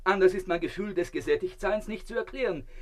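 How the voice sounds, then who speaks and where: Male actor as a vain radio-play gentleman, high voice, pompous, lecturing, theatrical, smug. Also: fairly quick, natural voice. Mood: grandly self-satisfied. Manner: pompous, lecturing, self-satisfied. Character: self-important man swallowed alive by a crocodile, blissfully vain.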